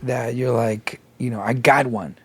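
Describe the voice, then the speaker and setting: A middle-aged man co-hosting a casual podcast chat, his voice raspy and tired after a long day, talking in a rambling way with many fillers. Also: medium pitch, steady tone, measured pace, dry recording. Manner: rambling, filler-heavy storytelling. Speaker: podcast co-host.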